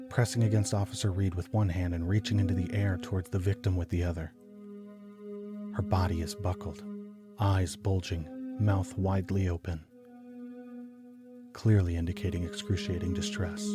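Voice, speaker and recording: An actor playing a brooding, obsessive homicide detective, narrating a noir audio drama in a clinical, deliberate manner. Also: male voice, low-pitched. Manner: clinical, deliberate, measured delivery. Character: brooding, obsessive male homicide detective recounting grim cases.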